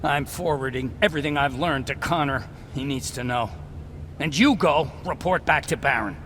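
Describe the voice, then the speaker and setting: A man's voice: a little high, moderate pace, a bit bright, lightly acted. Earnest game scientist played by a man, noisy backdrop. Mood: determined. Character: earnest male resistance scientist, fervent and resigned. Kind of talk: video game cutscene acting. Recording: clearly noisy game soundscape.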